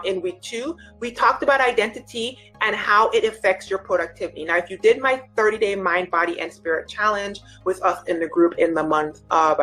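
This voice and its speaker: A woman with a medium-pitched voice talks casually to her followers in the role of a life coach. She is chatty and informal, explaining things directly to the listener. Music plays behind her voice. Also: a bit bright, rough recording.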